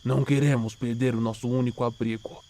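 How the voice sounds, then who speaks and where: A man voices a stern old male survivalist in an audio drama, mid-pitched and guarded. He talks firmly and cautiously, like a leader keeping his group safe. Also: little pitch movement, fairly quick, dark tone, natural voice. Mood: stern, irritated.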